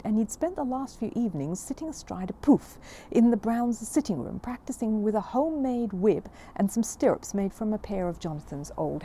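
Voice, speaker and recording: A woman reading a children's story aloud in an expressive, amused storybook style. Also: mid-range voice, slight background noise.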